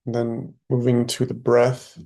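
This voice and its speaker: A man leading a guided meditation, speaking softly and slowly with long pauses between phrases. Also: medium pitch, monotone, vocal fry. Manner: slow, soft, long pauses.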